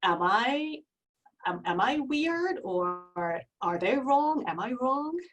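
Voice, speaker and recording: A woman's voice: high-pitched, animated, takes it slowly, a bit bright, far from the mic. A woman in her forties from Japan with a Japanese accent, a guest in an interview, speaking earnestly with frequent restarts. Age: 40s. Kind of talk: casual interview.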